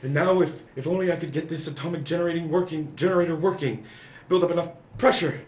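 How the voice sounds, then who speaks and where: A man's voice: fairly high pitch, quick pace, close to an everyday voice. A man playing a grave, desperate scientist father facing his planet's doom in a 1940s-style radio drama. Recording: roomy, dull, noisy room recording.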